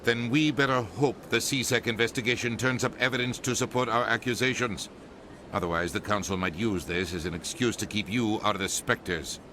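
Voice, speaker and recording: An actor playing a stern, indignant middle-aged ambassador in a video game, curt and authoritative. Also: male voice, medium pitch, pushed and bright, a little hiss or hum, lightly acted.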